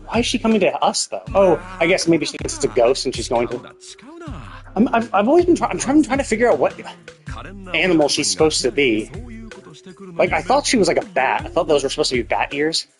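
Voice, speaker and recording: A man's voice: fairly high pitch, very animated, brisk, faint music behind. A man giving casual live game stream commentary, musing aloud in a rambling way and rephrasing as he goes.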